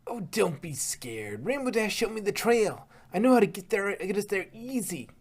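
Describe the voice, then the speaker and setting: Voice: mid-range voice, very animated, moderate pace, breathy edge. A performer voicing a brash, mischievous young pony filly in a story reading. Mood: cocky.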